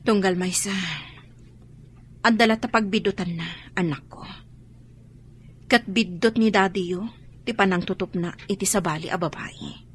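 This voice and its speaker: An actress voicing a patient, wronged wife and mother in a radio drama, soft and restrained. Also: medium pitch, moderate pace, pauses often, a little hiss or hum, natural voice. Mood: tender. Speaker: mother character. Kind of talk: Ilocano radio drama acting.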